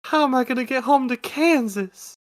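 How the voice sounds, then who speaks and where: Man putting on a high-pitched innocent little girl. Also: moderate pace. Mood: worried. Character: innocent, wide-eyed little girl in a fantasy game.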